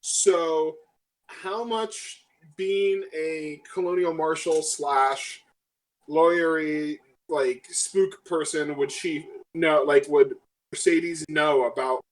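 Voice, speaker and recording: A man playing a tabletop game, chatting casually and thinking aloud in halting phrases. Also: on the high side, low-quality recording.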